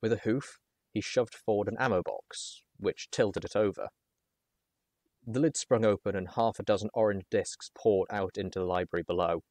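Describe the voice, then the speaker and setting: Low-voiced man narrating a story, close and dry. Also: normal speed, darkish tone, no echo. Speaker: narrator reading a story aloud. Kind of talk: expressive first-person audiobook narration.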